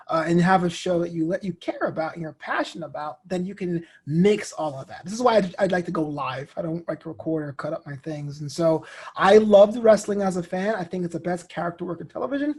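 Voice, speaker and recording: A man in his thirties telling stories as an interview guest, expressive and inclined to act out what people said. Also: sits high, slightly rough. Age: 30s. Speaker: guest, comedian and show host. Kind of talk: animated interview storytelling.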